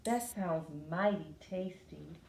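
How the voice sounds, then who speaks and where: A woman's voice: on the low side, slow, vocal fry, darkish tone. A woman voicing a friendly, hungry, folksy woman police officer in a storybook. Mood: longing. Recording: roomy, echoey sound.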